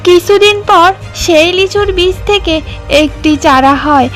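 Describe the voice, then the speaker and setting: A woman reads scripted narration for a children's cartoon fable. Her voice is extremely high. Music plays clearly behind her. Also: measured pace.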